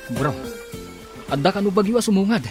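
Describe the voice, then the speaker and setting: A man's voice: high-pitched, animated, a little fast, a little mellow, faint music behind. An actor with an Ilocano Filipino accent playing an anxious ship's crewman in a radio drama. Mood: worried.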